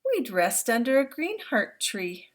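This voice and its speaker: Protective storybook father with warm reassuring promises, unhurried, read by a grandmother. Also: a little high, big pitch swings, voice pushed up. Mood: tender. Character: loving Maasai father, steady and protective. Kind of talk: read-aloud picture book.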